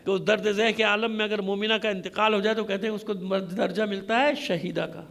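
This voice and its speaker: A man's voice: sits high, steady tone, fairly quick, gravelly, fairly bright tone. A man delivering an impassioned religious sermon as a Shia preacher, emphatic and repetitive, with rhetorical questions. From Pakistan. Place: congregation hall, speaking to a gathering.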